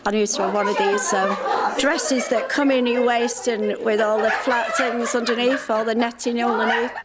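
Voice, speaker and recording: Older woman amid loud chatter. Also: on the high side, fairly even intonation, moderate pace. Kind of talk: casual reminiscing chat. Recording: busy room with loud background chatter.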